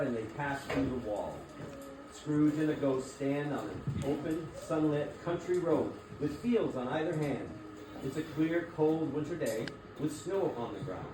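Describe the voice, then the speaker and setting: A man narrating a classic story as scripted theatrical reading. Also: fairly high pitch. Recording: distant echoey room, clearly noisy.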